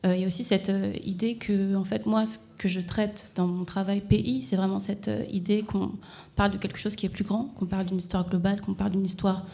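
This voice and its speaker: A woman's voice: medium pitch, little pitch movement, fairly quick, creaky, mellow. A woman from France, an artist answering interview questions in a reflective, conversational way.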